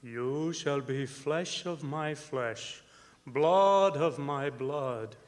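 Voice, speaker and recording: An actor playing a commanding, menacing vampire, intoning solemnly in radio drama style. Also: male voice, a little high. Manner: very slow, intoning. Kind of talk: theatrical radio drama acting. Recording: distant, reverberant theatre hall.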